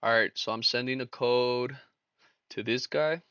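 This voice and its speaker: A man's voice: medium pitch, steady tone, unhurried, pushed and bright, clear, resonant tone, dull audio. A young man hosting a casual trading live stream, laid-back and slangy, talking to his chat. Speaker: livestream trader and host.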